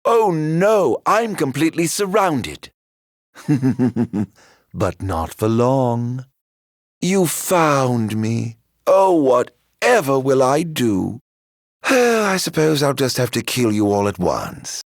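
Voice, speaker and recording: A man playing a middle-aged video game villain, sardonically genteel and flamboyant, with mock-polite, drawn-out delivery. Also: mid-range voice, big pitch swings, vocal fry, no room sound, studio quality, heavily acted. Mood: mocking, playful. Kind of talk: video game battle voice lines.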